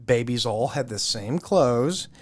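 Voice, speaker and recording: Man co-host, playful and stammering, mid pitch, crude humor. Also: animated, takes it slowly, a little mellow. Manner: joking, repeats himself, stammers playfully. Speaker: podcast co-host. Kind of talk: casual group chat.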